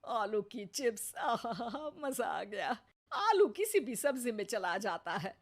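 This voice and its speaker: A woman's voice: a little high, sing-song, slow, heavily acted. A woman voicing a greedy, touchy older mother-in-law in a cartoon, with a sarcastic, sulky manner. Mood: relishing.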